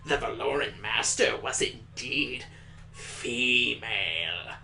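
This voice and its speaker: A man voicing a grave, measured schoolteacher who lectures in a formal, deliberate way in a visual novel. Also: mid-range voice, gravelly, pushed and bright, soft background music, heavily acted. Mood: solemn, didactic.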